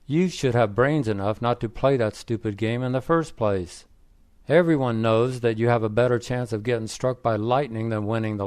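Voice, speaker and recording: A man voicing a sharp-tongued wife scolding her husband. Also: mid-range voice, natural voice.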